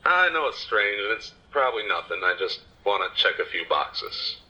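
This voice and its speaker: A man's voice: fairly low pitch, very animated, normal speed, bright, forceful tone, full character voice. A man acting as a businesslike, casually persuasive police detective in an audio drama, heard through a telephone filter. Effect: telephone call filter. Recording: band-limited phone-call sound.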